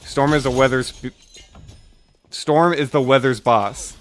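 A man's voice: mid-range voice, fairly even intonation, measured pace. A young man on a livestream at home, reading absurd comedy scripts aloud. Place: dim room at home.

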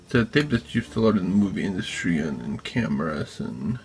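Low-pitched man narrating a DIY build. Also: very animated. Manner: informal, halting, thinking aloud as he works. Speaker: DIY maker and video host. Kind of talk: casual hands-on tutorial narration. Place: indoor craft workbench.

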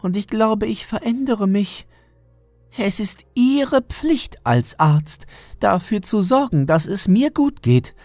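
Horror audiobook role: male narrator as imperious elderly woman patient, complaining and forgetful, pitched far up, music underneath. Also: expressive, takes it slowly, darkish tone, no room sound. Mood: demanding. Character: haughty, forgetful, demanding fat old lady patient.

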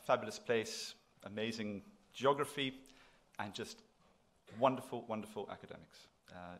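A middle-aged man giving a public address from a podium, conversational but emphatic, repeating phrases for effect. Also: medium pitch. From South Africa. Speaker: institution president giving inaugural address. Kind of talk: public lecture to an audience. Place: lecture hall, at a podium.

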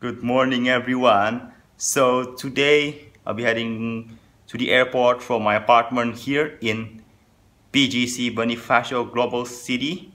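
A young man filming himself on a handheld phone, talking plainly and a little haltingly about his trip to the airport. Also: mid-range voice, fairly bright tone. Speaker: travel vlogger. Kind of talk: casual vlog narration. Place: apartment room, then airport indoors. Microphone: phone held at arm's length.

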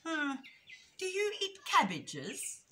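A woman's voice: high-pitched, huge pitch swings, slow pace, sharp tone, slight background noise, pitched above the performer's own voice, heavily acted. A woman voicing a naive, curious young male rabbit in a storybook, asking questions innocently.

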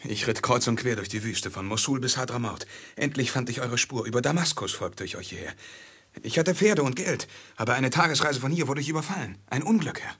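Ein Schauspieler spielt in einem Hörspiel der 1950er einen treuen, besorgten Diener in Bettlergestalt mit belegter Stimme. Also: male voice, mid-range voice, animated, a little fast, a bit bright, breathy edge. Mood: respectful. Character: loyal servant in beggar's guise, anxious, practical. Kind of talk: 1950s German radio play acting. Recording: 1950s mono radio drama.

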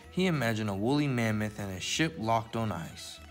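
A man with a fairly low voice hosts a children's story time. He presents as a storyteller and casual instructor, speaking to young listeners. Music plays behind his voice. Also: expressive, normal speed. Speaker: library story time host.

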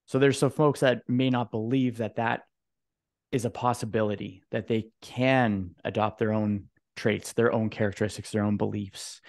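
A man in his thirties hosting a podcast, asking measured questions with some "uh" restarts, into a studio mic in a home room. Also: lowish voice, fairly even intonation, darkish tone. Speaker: podcast host. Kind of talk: interview questions. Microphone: studio mic with headphones.

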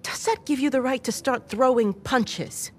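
A woman playing a stern, weary nun lecturing in a measured, reproachful way in a video game cutscene. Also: sits high, darkish tone. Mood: stern scolding. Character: stern, weary nun scolding a boy in her care.

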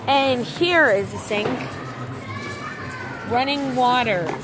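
A young girl with a slightly raspy voice, chattily reviewing toys on a handheld phone in a big store, often distracted. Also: a little high, slow pace, music in the background, rough recording. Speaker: vlogger. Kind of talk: casual toy review vlog. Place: large indoor retail store. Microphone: handheld phone camera.

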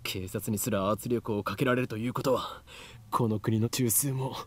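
A man's voice: lowish voice, steady tone, fast, natural voice. A man voicing a grandiose, paranoid young mad scientist in a visual novel, declaiming pompously and theatrically. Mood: grandiose, conspiratorial. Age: young adult. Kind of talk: Japanese visual novel voice acting.

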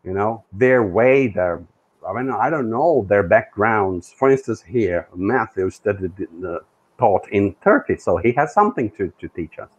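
A middle-aged man from Brazil, a native Portuguese speaker, talking hesitantly on a video call with many pauses. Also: fairly low pitch, expressive, muffled recording. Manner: hesitant, many fillers and restarts. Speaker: co-host, language learner. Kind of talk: casual video call chat. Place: room indoors.

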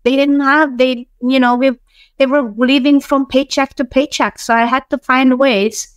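A middle-aged woman speaks in a high, smooth voice. She tells personal stories casually as a guest, and the sound is close and dry. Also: fairly even intonation, moderate pace, dry recording. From Hungary, born in Romania. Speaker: interview guest, bookkeeping business owner. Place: small room, indoors. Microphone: video call.

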